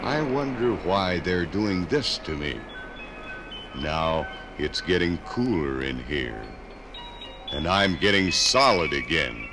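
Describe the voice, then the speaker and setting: Deep, bewildered lump of iron ore. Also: male voice, exaggerated voice. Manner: dramatic, wondering, halting self-talk. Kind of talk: 1950s radio dramatized monologue. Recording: 1950s radio LP, music behind.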